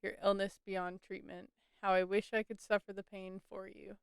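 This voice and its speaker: A woman's voice: medium pitch, little pitch movement, moderate pace, gravelly, clean tone, dry recording. A woman game streamer giving casual, wry commentary and reading text aloud.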